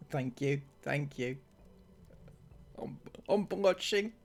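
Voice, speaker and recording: A man's voice: on the high side, animated, creaky, slight background noise. A man hosting a commentary channel, reading viewer comments aloud and mocking them, with frequent laughs and sarcasm. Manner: sarcastic, laughs often, drawn-out delivery.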